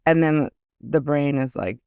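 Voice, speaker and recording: Clear-voiced woman in casual interview chat. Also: deep voice, measured pace, darkish tone, no echo, studio quality. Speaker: guest author.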